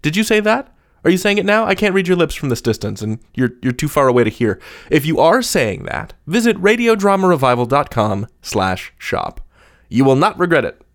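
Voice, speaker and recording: A man's voice: on the high side, big pitch swings, fairly quick. A man hosting a podcast, with a playful, mock-grandiose way of talking. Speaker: podcast host. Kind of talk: interview chat and scripted outro narration.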